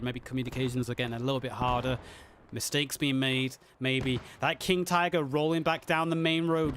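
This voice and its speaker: A man casting a live online match, his voice hoarse and worn as he narrates the action with rising excitement. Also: background noise. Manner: excited, builds to high pitch on big plays. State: losing his voice. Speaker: esports caster. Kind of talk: live game commentary.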